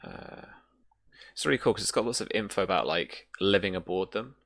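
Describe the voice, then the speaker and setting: Game master for tabletop session: deep register with a gravelly edge, informal, thinks out loud. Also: lively intonation, fairly quick. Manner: casual, thinks aloud while looking up rules. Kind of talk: casual tabletop roleplaying narration.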